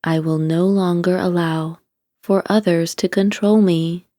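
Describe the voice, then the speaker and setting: A woman narrating a scripted guided meditation in a calm, soothing, deliberate way, giving gentle instructions. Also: lowish voice, dry recording, clean studio sound.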